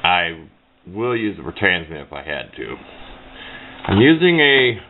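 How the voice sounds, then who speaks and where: A low-voiced middle-aged man talks through a hands-on demonstration casually. He rambles in a plain-spoken way and corrects himself along the way. The recording is dull and lo-fi, with noticeable noise behind him. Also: sing-song, a little slow. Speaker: hobbyist demonstrating a homemade radio antenna build. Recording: low-fi muffled sound with clear background noise.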